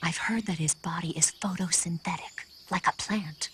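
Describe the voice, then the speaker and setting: A woman acts out a composed female spy from a video game. Her voice is low, and she speaks in a measured, reassuring way. A faint bed of insect noise runs behind her. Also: a bit bright, lightly acted. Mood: calm, cautionary. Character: composed, encouraging female spy briefing an ally. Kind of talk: dramatic video game voice acting.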